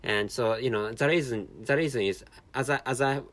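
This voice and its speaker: A man's voice: medium pitch, fairly quick, fairly bright tone. A tired man from Japan speaking English with a Japanese accent as a non-native speaker, hosting a casual solo monologue with many restarts and repeated words. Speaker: podcast host.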